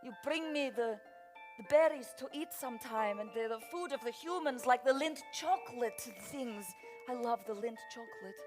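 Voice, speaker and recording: A woman's voice: on the high side, normal speed, darkish tone, soft background music, natural voice. A woman playing a gushing, sheltered young vampire daughter in improvised comedy, with melodramatic, formal phrasing. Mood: delighted, confiding. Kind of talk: improvised comic stage acting.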